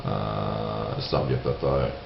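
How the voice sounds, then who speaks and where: A man from Sweden with a Swedish accent, giving an unscripted opinion monologue in halting English, hesitant and searching for words. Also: rumbling low, fairly even intonation, vocal fry. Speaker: video creator giving opinions. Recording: roomy, dull lo-fi sound with hiss.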